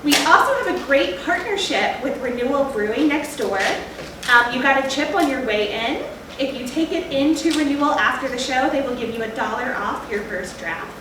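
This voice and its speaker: A woman with a high voice gives a casual, jokey curtain speech as a theater director. She is recorded from a distance in a hugely reverberant, noisy room. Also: animated, normal speed. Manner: chatty, informal, jokey. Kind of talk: casual pre-show welcome to live audience. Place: live theater stage before an audience.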